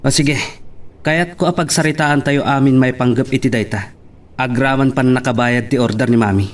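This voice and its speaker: A man's voice: medium pitch, fairly even intonation, moderate pace, lightly acted. A man with an Ilocano accent acting the troubled son of a crime boss in a radio drama. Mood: businesslike. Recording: clear background noise.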